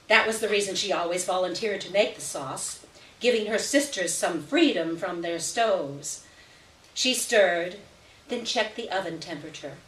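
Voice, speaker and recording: Low-voiced woman reading fiction in a roomy space. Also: a bit bright. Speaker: reader of a short story at a live event. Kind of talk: live literary reading, scripted narration. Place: indoor venue, live stage reading. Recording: roomy echo, distant mic at live event.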